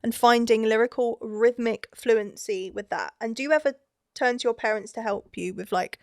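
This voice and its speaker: A woman's voice: a little high, moderate pace, no room sound, studio quality. A woman interviewer who asks questions and gives short friendly affirmations. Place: recording studio.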